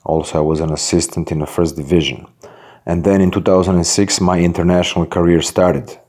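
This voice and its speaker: Deep-voiced man, echoey room. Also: fairly even intonation, a little fast, darkish tone. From Croatia. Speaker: basketball head coach. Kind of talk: introductory address to fans. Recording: roomy echo.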